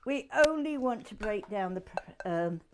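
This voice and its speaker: A woman's voice: medium pitch, lively intonation, measured pace, slight background noise. An older woman with a Yorkshire accent, hosting a casual cooking demonstration in her home kitchen, chatting with rambling asides as she works. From England. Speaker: cooking show host.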